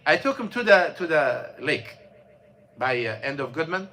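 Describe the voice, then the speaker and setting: Bright-voiced man telling a story. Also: fairly high pitch, lively intonation. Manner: storytelling, halting with many fillers and restarts. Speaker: imam. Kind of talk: mosque class talk. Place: mosque classroom.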